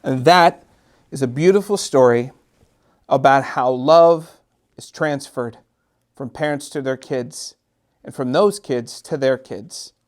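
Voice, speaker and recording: A middle-aged man reads a picture book to children expressively, drawing out repeated phrases with gentle, dramatic emphasis. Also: medium pitch. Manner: gentle, drawn-out, sing-song repetitions with dramatic emphasis. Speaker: storytime host and children's author.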